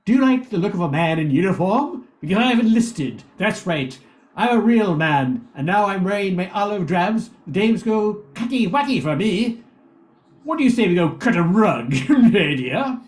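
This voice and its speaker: A man's voice: high-pitched, animated, normal speed. A man playing a swaggering, sneering soldier and would-be ladies' man in an amateur radio play. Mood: smug, flirting. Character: swaggering, sneering male soldier jilted by his crush.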